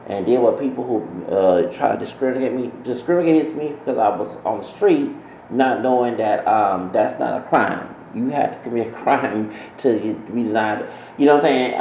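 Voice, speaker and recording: A man with a gravelly voice gives casual, opinionated commentary to his channel's audience, circling back and correcting himself as he goes. The sound is dull and roomy, with a faint hiss behind him. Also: mid-range voice, dark tone. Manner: rambling, self-correcting, opinionated. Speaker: channel host.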